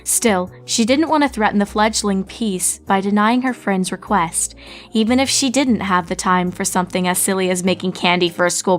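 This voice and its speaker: A woman reading a fan story aloud as narrator, with steady storytelling narration. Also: medium pitch. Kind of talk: fanfic audiobook reading.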